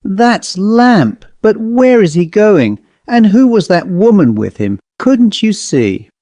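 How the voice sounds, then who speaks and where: A man voicing a gentleman master of the house, urgent and questioning, in a ghost story. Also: high voice, big pitch swings, slow, no room sound, pitched above the performer's own voice, full character voice. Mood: horrified.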